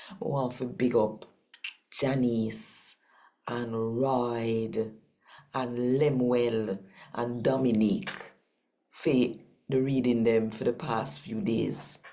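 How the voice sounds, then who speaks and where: Jamaican lady w a really deep voice reading scripture for her channel, takes her time, emphatic. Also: dark tone. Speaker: channel host and scripture reader. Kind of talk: expressive scripture reading and channel talk in Patwa.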